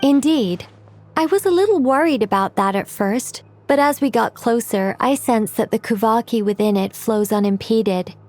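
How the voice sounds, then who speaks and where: A woman playing a knowing, composed and analytical character in an anime game, explaining things in a measured way. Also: on the high side, a little mellow, natural voice. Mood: thoughtful. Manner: measured, explanatory, matter-of-fact. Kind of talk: anime game dub acting.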